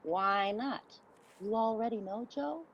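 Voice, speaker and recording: A woman's voice: on the high side, unhurried, lo-fi sound. A woman playing a defiant, needling homeless woman in a dramatic play reading, confrontational and sardonic.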